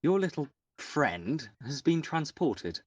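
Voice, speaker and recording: A man's voice: a little high, sing-song, slow pace, husky, no echo, dull audio, heavily acted. A man playing a haughty, coldly polite father in an audio drama, pompous and condescending in a mannered way. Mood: coldly dismissive.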